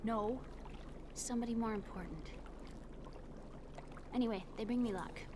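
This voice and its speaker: An actress playing a shy young woman, a wounded younger sister who speaks softly and hesitantly. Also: a little high, moderate pace, noisy background, close to an everyday voice. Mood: wistful. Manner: soft and hesitant, slipping into whispers. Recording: game cutscene with music behind.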